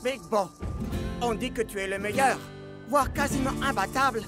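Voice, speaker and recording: A woman's voice: a little high, normal speed, close to an everyday voice. Woman voicing a cocky rival footballer. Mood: challenging. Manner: cool, challenging. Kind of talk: cartoon voice acting. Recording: over cartoon music.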